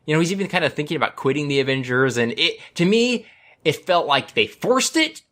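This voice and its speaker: A man's voice: a little high, lively intonation, fairly quick, fairly bright tone. A man hosting a casual group podcast chat about films, talking with enthusiasm. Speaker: podcast host.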